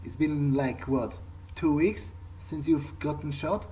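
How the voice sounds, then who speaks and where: A man improvising an in-character video game playthrough, speaking haltingly as he thinks aloud. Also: fairly high pitch, warm tone, a little hiss or hum.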